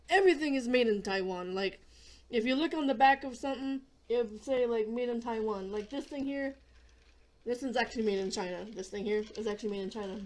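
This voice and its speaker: A hoarse woman hosting a casual gaming video, chatting in a rambling way and often clearing her throat. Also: fairly high pitch. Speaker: gaming channel host.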